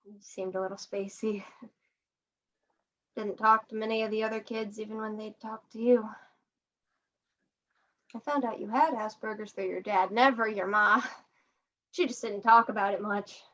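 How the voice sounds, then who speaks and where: A young woman playing a kindly older museum janitor in a student stage play, chatty and rough-edged, with a folksy, halting way of talking. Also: mid-range voice, fairly even intonation, fairly bright tone, highs cut off, natural voice. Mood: gentle, reflective. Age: young adult. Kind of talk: student one-act stage play acting.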